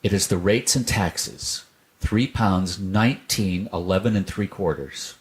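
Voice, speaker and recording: Tomcat shopkeeper, male reader: children's story, lowish voice, blunt, suspicious, matter-of-fact. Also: measured pace. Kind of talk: children's storybook character reading.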